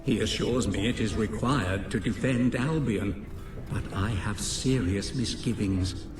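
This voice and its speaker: An actor playing an earnest inventor dictating a personal log in a video game, formal and articulate. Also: male voice, fairly low pitch, a little slow, lightly acted. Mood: troubled. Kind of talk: dramatic recorded diary. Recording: roomy, distant, music behind.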